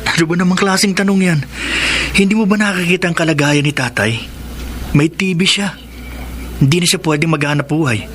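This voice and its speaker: Actor doing 20 yr old big brother, radio drama, dutiful but teasing, slightly high voice. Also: male voice, brisk, long pauses, a bit bright, a little hiss or hum, lightly acted. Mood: defensive. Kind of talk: Tagalog radio drama acting.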